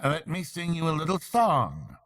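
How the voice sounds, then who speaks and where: A smug weasel orator from a storybook, acted out by an older man, squeaky and mock-pompous. He draws his words out slowly and mockingly, with a swooping pitch. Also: wildly swooping pitch, a bit bright, exaggerated voice. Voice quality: high, squeaky.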